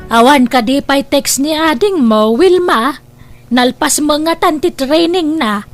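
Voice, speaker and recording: Money-minded family matriarch for an audio drama, high voice, calculating played by a woman. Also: lively intonation, a little slow, over music. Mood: calculating, insistent. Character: scheming, money-minded mother of grown children. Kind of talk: Ilocano radio-style family drama acting.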